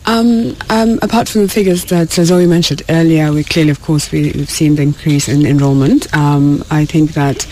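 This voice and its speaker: A woman from South Sudan speaking as an interview guest, halting and often searching for words. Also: deep voice, fairly even intonation, dark tone, a little hiss or hum, poor audio. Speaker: guest, education program worker. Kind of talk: radio interview.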